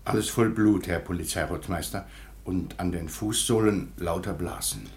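An actor playing a deferential but opinionated master shoemaker in a radio play, formal and insistent. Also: male voice, low voice, normal speed. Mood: eager, deferential. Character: deferential yet opinionated male master shoemaker, eager witness.

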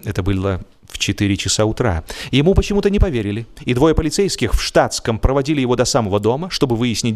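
A man narrating a humorous story as a scripted reading, theatrical in delivery, with drawn-out words and emphatic swings. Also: mid-range voice. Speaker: audiobook narrator. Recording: music behind the voice. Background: music.

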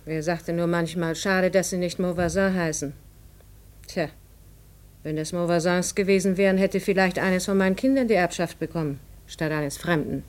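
A weary mother played by a radio actress with a fairly low voice. She talks bluntly and curtly, with a grudging, resentful matter-of-factness. It sounds like a scene from a 1950s German radio play. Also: a little mellow, natural voice. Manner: curt, matter-of-fact, grudging. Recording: 1950s radio drama recording.

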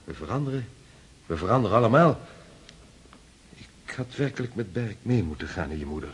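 An actor playing a courteous, reserved young army lieutenant in a radio play, polite and a little hesitant. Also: male voice, on the low side, animated, fairly quick, slightly rough. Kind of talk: radio drama acting.

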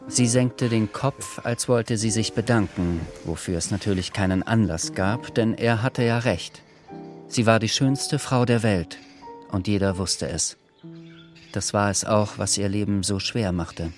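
A man narrates a literary German radio play. His voice is low and his delivery measured and dry, with gentle irony, over quiet background music. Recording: music under the voice.

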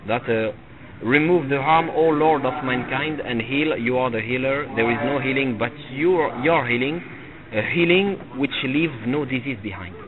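A middle-aged man teaching a religious lesson to a live audience, halting and repeating himself and often checking with "you see?". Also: mid-range voice. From Tunisia. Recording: phone-like lo-fi recording with crowd noise. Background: listeners gathered in the room.

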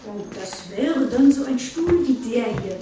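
A woman's voice: fairly high pitch, lively intonation, a little slow. A woman playing a chatty, proud museum guide giving an informal tour in a radio play. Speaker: exhibition guide. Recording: very echoey room, distant, faint noise.

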